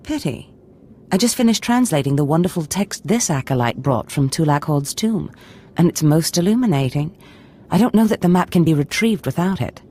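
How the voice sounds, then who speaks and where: A woman's voice: low-pitched, animated, normal speed, warm tone. An actress voicing a scheming female Sith lord who speaks in a languid, commanding way. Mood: imperious. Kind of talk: game voice acting.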